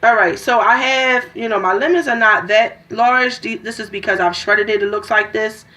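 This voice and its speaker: A woman's voice: fairly high pitch, normal speed, pushed and bright. A woman, chatting casually as she demonstrates juicing in her home kitchen, rambling with many ums and restarts. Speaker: vlogger.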